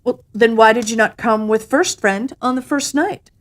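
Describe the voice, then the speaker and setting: A woman's voice: fairly high pitch, normal speed, pitched above the performer's own voice, lightly acted. Woman playing a firm, shrewd storybook cave woman. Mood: firm, dismissive.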